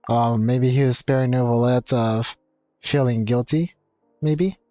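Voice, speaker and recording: A man commenting casually as he streams a game. His tone is soft-edged and dark, and he muses with some hesitation. Light music plays behind him. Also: mid-range voice, steady tone, a little slow, no room sound, clean studio sound.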